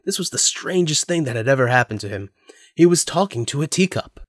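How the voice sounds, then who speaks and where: A man's voice: medium pitch, animated, a little fast, no room sound. Man narrating, dry close recording. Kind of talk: scripted story narration.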